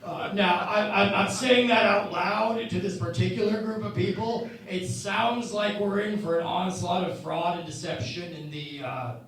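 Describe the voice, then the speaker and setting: A man playing an awkward starship engineer in a comedic parody radio play, halting and fumbling for words. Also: high-pitched, steady tone, fairly bright tone. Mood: awkward, proud. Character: awkward, stammering male starship engineer presenting his invention. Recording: roomy echo, distant mic, faint noise.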